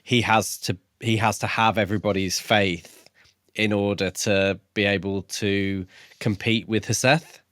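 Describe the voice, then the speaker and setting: Low-voiced man in casual discussion, dry sound. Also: fairly even intonation, measured pace, a bit bright, no room sound. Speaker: podcast co-host.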